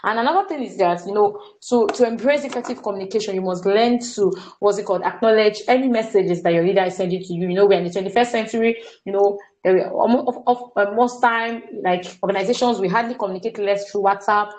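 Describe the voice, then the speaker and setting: A woman's voice: medium pitch, fast talker, few pauses, darkish tone, poor audio. A young Nigerian woman leading a staff training talk, earnest and often stopping to repeat words or say "what's it called.". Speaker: workshop facilitator.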